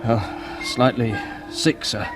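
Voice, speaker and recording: An actor playing a plain-spoken, deferential space crew chief in 1950s radio drama style. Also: male voice, medium pitch, takes it slowly, close to an everyday voice, low-quality recording. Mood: uneasy, awed. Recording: vintage radio broadcast with music behind.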